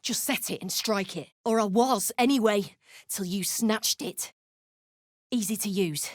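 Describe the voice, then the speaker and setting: A woman voicing an embittered, foul-mouthed deep gnome rebel in a video game, blunt and snarling. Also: a little slow, no room sound, studio quality, natural voice. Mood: grim, curt. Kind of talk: video game character acting.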